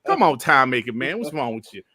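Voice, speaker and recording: Animated man hosting a podcast. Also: medium pitch, brisk, crowd noise. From Detroit. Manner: animated, laughing, reads chat messages aloud. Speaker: podcast host. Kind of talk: casual livestream podcast chat.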